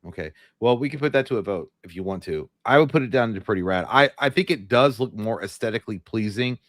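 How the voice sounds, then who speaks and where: Deep-voiced man in blunt movie banter. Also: expressive, quick pace, no echo, clean studio sound. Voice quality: naturally deep voice. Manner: blunt, profane banter with fillers. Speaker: podcast co-host. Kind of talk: casual live movie chat.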